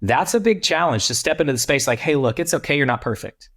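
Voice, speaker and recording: Guy from texas on a podcast giving advice, really animated pitch, chatty. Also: fast talker. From Texas, United States. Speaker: podcast panelist, church leadership coach.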